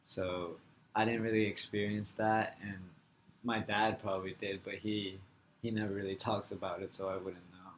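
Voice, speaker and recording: Young man, low voice, relaxed answers. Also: a little hiss or hum, poor audio. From Florida, USA. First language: Farsi. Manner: relaxed, halting, with many "like" fillers. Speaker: interviewee. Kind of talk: casual interview answers.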